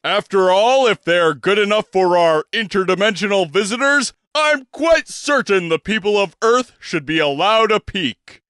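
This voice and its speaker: A man's voice: high-pitched, expressive, moderate pace, hardly any pauses, fairly bright tone, clean tone, no room sound, pitched above the performer's own voice. A man voicing a pompous, grandiloquent older male shop proprietor who speaks in flowery, old-fashioned phrases. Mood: grandly formal.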